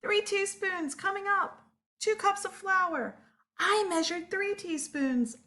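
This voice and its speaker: Little monkey child, acted by a woman, high voice, eager, excitable, exclaiming, picture-book character. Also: takes it slowly, full character voice. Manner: eager, hushed shushing and exclaiming.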